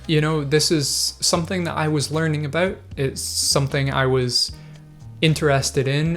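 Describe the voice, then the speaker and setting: Hesitant man, faint music behind. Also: medium pitch. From Scotland. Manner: hesitant, many fillers and restarts, thoughtful. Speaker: video host. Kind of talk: casual reflective commentary.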